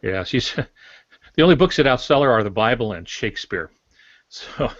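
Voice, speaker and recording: A man's voice: mid-range voice, lively intonation, a little slow, a bit bright, no room sound. An older man, chatting casually about books as a podcast co-host. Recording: dull lo-fi podcast audio.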